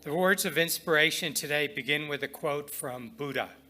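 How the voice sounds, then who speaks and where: A man leading inspirational readings and prayer at a spiritual service, speaking deliberately and reverently in short phrases with pauses. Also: fairly high pitch, pushed and bright.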